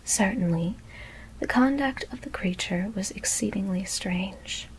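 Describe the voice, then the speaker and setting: A woman whispering a gothic horror story as ASMR narration, hushed and deliberate, with careful pauses. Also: medium pitch, a little hiss or hum, rough recording.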